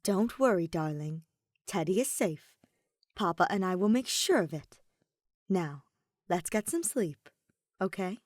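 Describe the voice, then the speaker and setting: A woman voicing a gentle, quietly strong wife and mother, soft, tender and deliberate in an audio story. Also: fairly low pitch, lively intonation, no room sound, studio quality.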